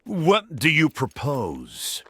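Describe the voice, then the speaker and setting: A man's voice: a little high, wildly swooping pitch, extremely slow, pushed and bright, husky, exaggerated voice. A man playing a bold, resolute warrior lord and battle leader in a fantasy audio drama.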